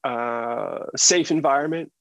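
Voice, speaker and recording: A man's voice: medium pitch, takes it slowly, a bit bright, no echo. A man of about forty with an American accent, chatting informally and with slang as a podcast guest. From United States. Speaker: podcast guest, sound engineer. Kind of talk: casual interview chat.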